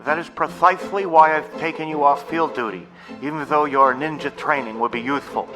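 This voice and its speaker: A man's voice: fairly high pitch, brisk, over music, lightly acted, poor audio. An actor playing a pompous, bossy, nerdy boy mission commander in an audio drama, speaking in formal, wordy phrases. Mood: pompous, stern.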